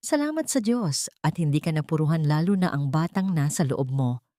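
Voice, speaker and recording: A woman's voice: sits low, expressive, normal speed, dark tone, dry recording, clean studio sound. A woman voicing a kind, reassuring old farmer in an audio storybook. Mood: kindly, relieved. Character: kind, weathered old male farmer, gently reassuring rescuer.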